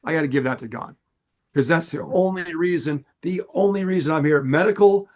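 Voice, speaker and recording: An older man with a raspy voice, co-hosting a casual podcast and telling his story earnestly, often laughing. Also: fairly high pitch, a little fast, a little mellow. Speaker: podcast co-host.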